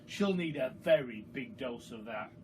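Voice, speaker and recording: A man voicing a mischievous, excitable young boy from a storybook, with an eager, scheming manner. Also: medium pitch, measured pace, voice pushed down, close to an everyday voice. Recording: far from the mic, noisy background.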